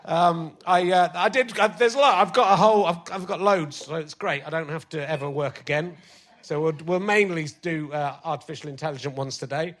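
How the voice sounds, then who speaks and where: A male comedian hosting a live podcast on a theatre stage, chatty and self-mocking, his voice slightly croaky from illness. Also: fairly high pitch, fairly quick, a bit bright. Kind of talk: live stand-up banter on stage.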